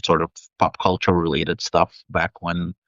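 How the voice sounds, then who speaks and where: Low-voiced man, Russian native, dull audio. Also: flat delivery, measured pace, a bit bright, no echo. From Soviet Union. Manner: thoughtful, many fillers and restarts. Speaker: guest. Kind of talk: casual interview chat. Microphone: video call.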